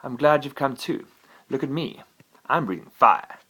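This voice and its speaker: A man's voice: medium pitch, expressive, a little slow, bright, forceful tone, slightly rough, heavily acted, poor audio. A man reading a bedtime story, voicing a young boastful male pet dragon that is eager and sulky by turns. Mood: childlike boasting.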